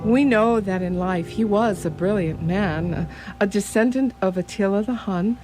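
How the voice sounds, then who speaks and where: A woman playing a wise, grave old male professor who hunts vampires, speaking formally and deliberately in a radio drama. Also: medium pitch, over music, lightly acted, low-quality recording. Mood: grave, resolute.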